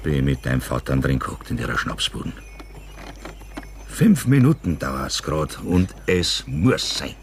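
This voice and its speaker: A boisterous, stubborn Bavarian country carter in an old radio drama. He takes his time, his pitch ranging widely, over faint background noise. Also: sing-song, vocal fry, exaggerated voice. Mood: stubborn, easygoing. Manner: unhurried, obstinate, earthy. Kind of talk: 1960s German radio play acting.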